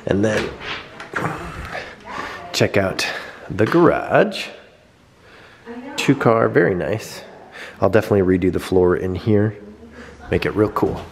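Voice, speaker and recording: A man in his thirties giving a quick, upbeat house tour and chatting at home. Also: fairly low pitch, expressive, vocal fry. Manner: quick, upbeat, rambling with restarts. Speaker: vlog co-host. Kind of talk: casual house tour and chat. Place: living room at home, indoors.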